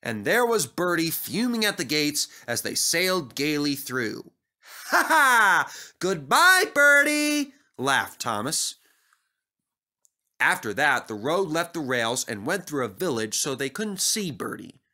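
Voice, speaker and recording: A man narrating a scripted children's story as an animated storyteller. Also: a little high, a little slow.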